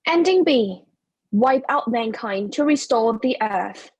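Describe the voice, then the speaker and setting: A woman's voice: on the high side, lively intonation, takes it slowly, slightly rough, no echo. A woman narrating a play's stage directions in scripted reading.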